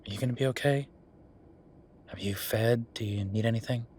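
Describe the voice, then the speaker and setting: A man playing a weary, wry younger werewolf boyfriend working late, speaking haltingly in an intimate roleplay audio drama. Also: a little mellow, a little hiss or hum, lightly acted. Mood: weary, concerned. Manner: low-key, sardonic, halting, sighing.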